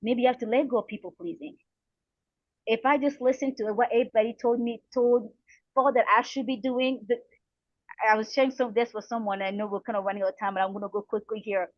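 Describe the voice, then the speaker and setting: A woman's voice: a little high, steady tone, fast talker, no room sound, highs cut off. A middle-aged woman from the Republic of the Congo giving motivational advice as an interview guest, encouraging and earnest, repeating phrases for emphasis. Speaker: guest speaker, coach and counselor.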